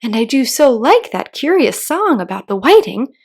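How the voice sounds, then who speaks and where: A timid, well-mannered little girl from a storybook, voiced by a woman. She sounds curious and wondering, with a high, tentative delivery. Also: big pitch swings, moderate pace, a little mellow, breathy edge, full character voice. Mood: polite.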